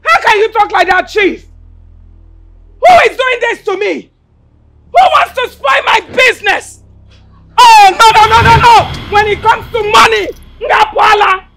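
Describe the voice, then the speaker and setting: Actress as a shrill, money-hungry madam, high and lively, loud pleading and scolding. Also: female voice, measured pace, pauses often, slight background noise, full character voice, rough recording. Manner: pleading, then scolding and shouting. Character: greedy scheming madam, a domineering mother. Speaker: brothel madam and mother.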